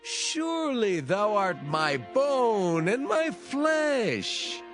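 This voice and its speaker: An actor playing an older, shrewd biblical patriarch in a dramatized scripture reading, with theatrical, emphatic delivery. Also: male voice, high-pitched, very animated, very slow, over music, exaggerated voice. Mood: heartfelt.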